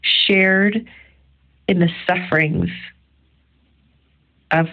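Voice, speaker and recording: A middle-aged woman with a low voice and a lot of creaky fry shares an informal devotional. Her delivery is earnest and conversational, with emphatic stresses. The audio is narrow and phone-like, like a voicemail. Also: steady tone, extremely slow. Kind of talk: informal devotional reflection on scripture.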